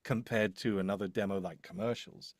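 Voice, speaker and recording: A man's voice: lowish voice, fairly even intonation, brisk, no echo. A man chatting casually and explaining his work, hesitant and rambling as he thinks aloud. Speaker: voice-over demo producer.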